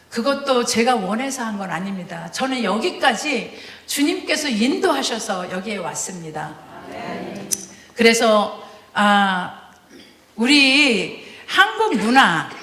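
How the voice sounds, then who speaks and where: A woman from Korea with a Chungcheong accent, giving a lively testimony sermon as a guest preacher, full of fillers and laughs. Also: fairly high pitch, echoey room. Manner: animated, laughing, many fillers. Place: church sanctuary.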